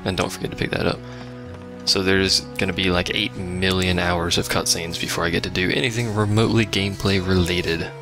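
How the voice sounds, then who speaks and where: A man with a low, creaky voice gives casual gameplay commentary. He sounds wry and sarcastic, with pitch that moves around a lot. Game music is clearly heard behind him. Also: flat delivery, a little fast. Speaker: let's play host.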